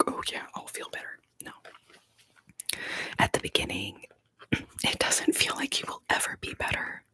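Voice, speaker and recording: A woman whispering softly and slowly to her viewers, tearful and emotional, with frequent pauses. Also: no echo. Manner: soft, slow, emotional, pauses often. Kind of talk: whispered ASMR chat to viewers.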